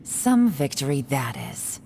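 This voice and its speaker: An actress playing a cool, shrewd, skeptical female battle veteran in a video game, sardonic and measured. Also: a bass voice, sing-song, mellow, exaggerated voice. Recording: faint background noise.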